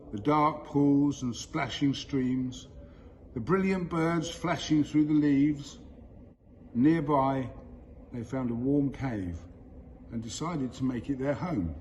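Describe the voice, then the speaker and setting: A man reading a children's story aloud in a deliberate, expressive way with storyteller pauses. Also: mid-range voice, dull audio, slight background noise. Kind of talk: scripted storybook narration.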